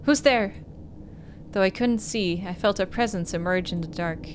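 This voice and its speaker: A woman's voice: fairly low pitch, a little fast. Woman narrating a game, noisy background. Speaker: gaming host. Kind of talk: reading visual novel aloud with casual asides.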